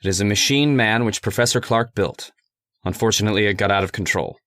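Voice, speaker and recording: In a dry, close sound, a man plays a stubborn laboratory assistant from an old radio horror play. His fairly low voice is earnest, articulate and controlling, always out to persuade. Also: quick pace, fairly bright tone, dry recording, lightly acted. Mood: assured, soothing. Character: calm, stubborn, persuasive scientist's assistant devoted to the experiment.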